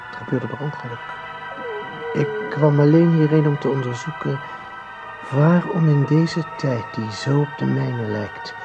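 An actor playing a calm time traveller in a radio play, explaining in a measured, deliberate way. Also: male voice, on the high side, vocal fry, muffled recording, over music. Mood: grave, confessing. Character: calm, lecturing male time traveller from another world. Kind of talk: Dutch radio play acting.

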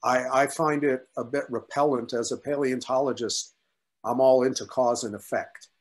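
A man's voice: medium pitch, steady tone, normal speed. An older man, a paleontologist, speaking informally and emphatically in an academic discussion on a video call. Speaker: paleontologist at a workshop. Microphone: video call computer mic.

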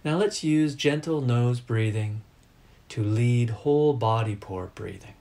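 A man teaches a guided meditation at a very slow pace. His voice sits at a medium pitch. He delivers short, measured instructions in a calm and deliberate way.

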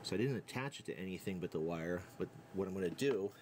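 Low-voiced man thinking aloud. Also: animated. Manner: unhurried, thinking aloud while working. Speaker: DIY electronics demonstrator. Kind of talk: casual hands-on tutorial narration. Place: indoor workbench. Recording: clear background noise and room ambience.

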